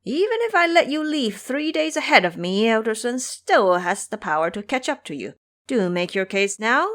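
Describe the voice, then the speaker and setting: A woman's voice: a little high, big pitch swings, normal speed, a bit bright. A woman voicing a cocky, hot-tempered monkey king in an audio drama, imperious, taunting and scolding. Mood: threatening.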